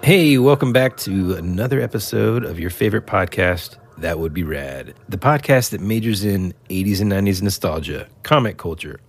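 A man's voice: low-pitched, lively intonation, normal speed, hardly any pauses, husky, soft background music. A man co-hosting a podcast in a casual chat. He sounds nasal and stuffed up as he gets over an illness, rambling in a laid-back way. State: congested, recovering from illness. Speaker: podcast co-host.

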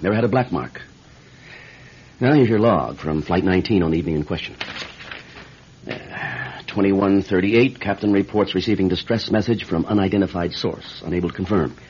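An actor playing a measured, skeptical airline manager in a classic radio drama, American, speaking in a matter-of-fact, businesslike way. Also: male voice, low-pitched, darkish tone, slight background noise, lightly acted. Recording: dull lo-fi old radio recording.

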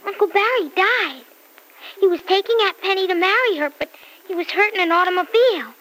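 Very high-voiced, earnest little girl. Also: a little fast, fairly bright tone, low-quality recording. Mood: earnest, sad. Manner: simple, childlike, matter-of-fact. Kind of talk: old-time radio serial acting.

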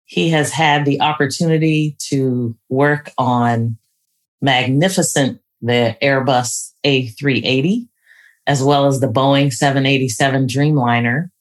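A woman's voice: very deep voice, a little slow. A woman hosting an interview podcast, introducing the show and putting questions to a guest. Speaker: podcast host.

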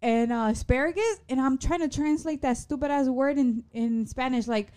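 30s lady podcasting, higher voice, swears a lot, switches to spanish. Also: normal speed, mellow, clear, resonant tone. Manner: laughing, swearing, mixing English and Spanish. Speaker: podcast co-host. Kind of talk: casual chat.

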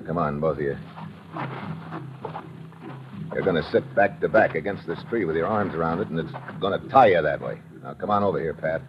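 A man's voice: extremely low pitch, fast, background noise. A man playing a tough, weary American adventurer in an old radio drama, clipped and slangy as he narrates and gives orders. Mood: weary, wary. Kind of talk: old-time radio adventure acting, hard-boiled narration. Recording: dull vintage radio recording, music behind.

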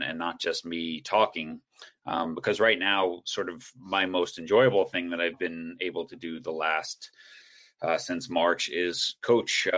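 A man guest on a video call, telling his coaching story in a relaxed, rambling way with many fillers and restarts. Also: low-pitched. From United States. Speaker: guest speaker, soccer coach educator. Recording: dull, lo-fi call audio.

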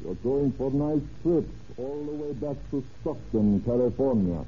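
A man's voice: mid-range voice, lively intonation, measured pace, natural voice. An actor playing a stern American lawman in a 1930s radio drama, questioning in a clipped, insistent way. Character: stern, probing American lawman making an arrest. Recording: tinny, hissy old radio recording.

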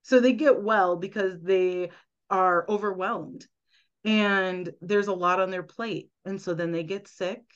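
A woman's voice: mid-range voice, a little slow, smooth, muffled recording. A woman guest on a podcast, earnestly explaining at length and often checking in with "right?". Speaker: guest, medical intuitive.

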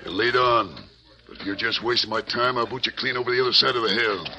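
A man's voice: medium pitch, fairly even intonation, quick pace, pushed and bright. An actor playing a greedy, blustering male mine boss with coarse, scoffing speech in a 1940s radio drama. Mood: gruff.

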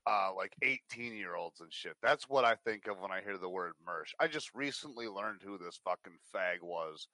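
Blunt man, bright and lowish voice. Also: expressive, normal speed, few pauses, no room sound. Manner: blunt, crude. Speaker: livestream guest. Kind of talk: casual profane chat.

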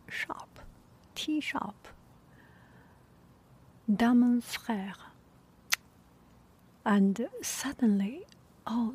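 Brief: woman, whispered storytelling, slow and hushed, mellow breathy tone. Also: mid-range voice. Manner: soft whispering, halting with many pauses.

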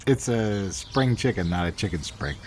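A man co-hosting a podcast in casual chat, joking with animated, exaggerated asides. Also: on the low side, normal speed, noisy background. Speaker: podcast co-host.

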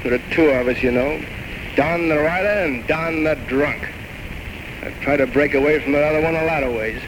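A man's voice: on the high side, moderate pace, natural voice. An actor playing a tormented, grandiose alcoholic writer in his thirties, rambling theatrically and bitterly mocking himself in an old radio drama. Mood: bitter, anguished. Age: 30s. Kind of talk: 1940s-1950s radio drama acting. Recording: noisy old radio broadcast recording.

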